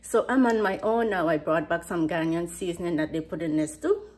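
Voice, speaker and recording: A middle-aged woman with a Virgin Islands Caribbean accent talks to the camera in a casual vlog style. Her voice sits a little low for a woman. She is emphatic and often repeats a word or phrase to drive it home. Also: brisk, a little mellow. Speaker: vlogger, host. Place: home kitchen, indoors.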